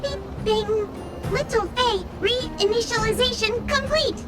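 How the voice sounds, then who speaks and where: Cute small game robot: extremely high, slow, clipped mechanical announcements, music underneath. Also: female voice. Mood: robotic, chirpy. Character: cute little repaired drone robot, chirpy and mechanical. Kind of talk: scripted game voice acting.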